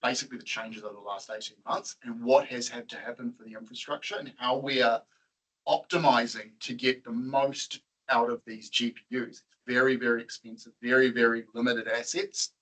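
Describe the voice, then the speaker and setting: A man's voice: mid-range voice, little pitch movement, a little fast, fairly bright tone, low-quality recording. A man presenting at a tech meetup, chatting casually with the audience, quizzing them and laughing often.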